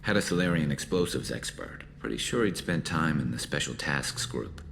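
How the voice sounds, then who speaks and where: A man playing a grim, vengeful alien mercenary in a video game, measured and brooding, with a flanged, filtered growl. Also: extremely low pitch, expressive, vocal fry, fairly bright tone, slight background noise, full character voice. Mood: weary, reflective. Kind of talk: video game dialogue acting.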